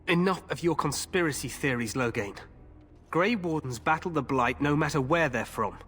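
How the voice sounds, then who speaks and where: A man's voice: a little high, moderate pace, fairly bright tone, breathy edge. An actor playing an eager, glory-hungry young king in a video game, speaking in a regal, confident, commanding manner. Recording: long hall reverb with game music behind.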